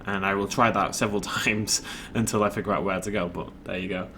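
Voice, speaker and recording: A man's voice: deep voice, steady tone, brisk, bright, forceful tone, a little hiss or hum. A man casually rambling while commenting on his own gameplay, chatty and self-deprecating. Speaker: let's play host.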